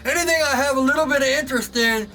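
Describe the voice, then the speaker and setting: Loud, high-voiced man projecting in a workshop. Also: fast talker, distant mic. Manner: loud, projecting, excitable, laughs often. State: sore throat. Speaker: knifemaker and channel host. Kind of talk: casual talk to camera. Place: home workshop.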